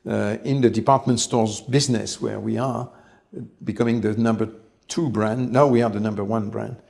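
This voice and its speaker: A man, telling his life story in an interview, measured and reflective, often pausing to find his words. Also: on the low side, fairly even intonation, gravelly, darkish tone. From France. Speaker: company head, interview guest.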